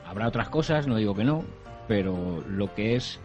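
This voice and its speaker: A man's voice: fairly low pitch, expressive, normal speed, darkish tone, faint music behind. Man talking casually on a podcast panel. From Madrid, Spain. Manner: thoughtful, explains at length with hedges. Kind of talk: casual roundtable chat.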